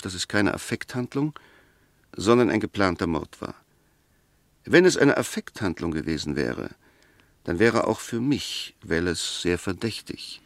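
An actor playing a sceptical, gently ironic defence lawyer in a 1960s German radio play, arguing in a measured, precise and pointed way. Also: male voice, on the low side, no room sound. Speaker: defence counsel.

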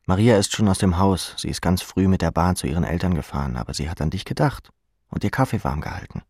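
A man with a deep, breathy voice narrates literary prose for an audiobook. The recording is dry, with no room around the voice. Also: animated, fast talker, a little mellow, no room sound. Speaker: audiobook reader. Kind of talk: scripted audiobook narration in second person.

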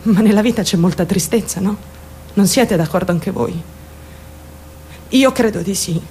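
A woman's voice: mid-range voice, moderate pace, mellow, a little hiss or hum, close to an everyday voice. A woman acting a theatrical radio monologue as a troubled young woman, defensive and candid, rambling and addressing her listeners directly. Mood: wistful, rambling. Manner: confiding, addresses listeners directly with rhetorical questions. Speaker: actor.

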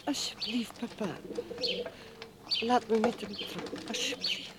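An anxious, strained woman in a radio play, acted by a woman. Her voice sits on the high side, hesitant and subdued, with noticeable noise behind it. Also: a little slow, close to an everyday voice. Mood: pleading. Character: anxious, evasive woman named Anna, pleading and strained. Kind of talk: naturalistic radio drama dialogue.

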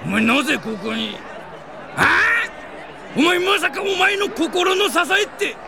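A man acting a brash, hot-headed idol superfan in a Japanese comedy audio drama, with boisterous, theatrical delivery and rough slangy speech. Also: very high voice, very animated, slow, a crowd in the background, exaggerated voice. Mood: shocked, taunting.

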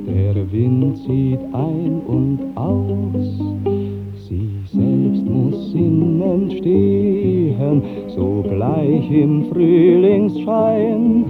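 A woman's voice: low voice, lively intonation, very slow, full character voice. A woman performing a solemn, pious romantic ballad as a singer in a 1950s radio drama. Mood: solemn, lyrical. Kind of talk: slow lyrical song in 1950s radio drama. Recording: tinny 1950s radio recording, guitar music.

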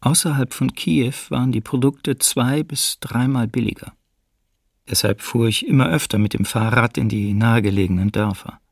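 Man in hiding, very gravelly, husky, wary, subdued, measured first-person radio drama narration. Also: mid-range voice, no echo, clean studio sound. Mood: sober, subdued.

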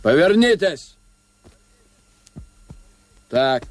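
Slow, menacing militiaman, high-pitched. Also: big pitch swings, exaggerated voice. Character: curt, menacing armed male roadblock militiaman. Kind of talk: Soviet radio drama acting. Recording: faintly noisy old radio production.

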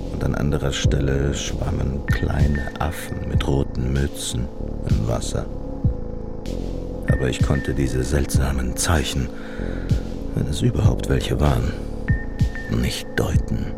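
An actor playing a haunted soldier in a radio play, recounting a dark journey in a brooding, halting, half-whispered monologue over music. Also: male voice, extremely low pitch, gravelly, full character voice.

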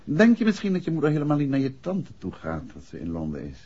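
A man playing a patient, middle-aged gentleman detective who questions in a measured, coaxing way in a 1960s radio play. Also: mid-range voice, wildly swooping pitch, breathy edge, exaggerated voice. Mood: gently suggestive. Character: probing, gentlemanly male detective, patient and suave. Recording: dull 1960s radio drama recording.

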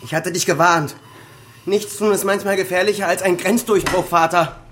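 A man's voice: sits high, steady tone, normal speed, fairly bright tone. An actor playing a bitter, desperate adult son who accuses his father with insistent intensity, in a German radio crime drama. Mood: accusing.

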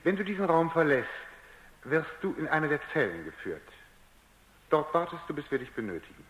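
A man's voice: on the high side, expressive, normal speed, a bit bright. An actor playing a defensive, condescending male scientist in a German radio drama. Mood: patronising, instructive. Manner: condescending, stammers when flustered. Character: defensive, patronising male scientist, testy under questioning. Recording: echoey, reverberant radio drama recording.

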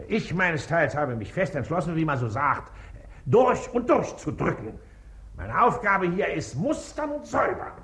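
An actor playing a pompous, self-important baron and district official in a radio comedy, officious and condescending. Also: male voice, mid-range voice. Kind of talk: stage comedy acting in radio play.

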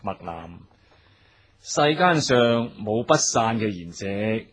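A man with a Cantonese accent playing an authoritative gang boss and club owner in a radio drama, giving orders in a deliberate, lecturing way. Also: fairly low pitch, big pitch swings, fairly bright tone, a little hiss or hum, full character voice. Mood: measured authority. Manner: deliberate, instructing, lecturing subordinates.

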